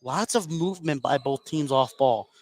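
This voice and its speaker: A man's voice: fairly high pitch, normal speed, a little mellow, dry recording. An American man doing live radio basketball play-by-play as the game's commentator. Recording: noisy gym ambience behind commentary.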